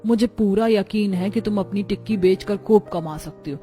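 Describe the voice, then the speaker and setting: A woman's voice: medium pitch, normal speed, dark tone, natural voice. A woman playing caring, earnest women of a poor family and neighbourhood in a children's cartoon. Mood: impressed, eager. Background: music.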